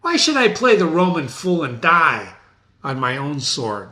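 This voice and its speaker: A man's voice: a little high, very animated, a little slow, pushed and bright, heavily acted. A man playing Macbeth, a proud, defiant, doomed warrior king, declaiming archaic verse.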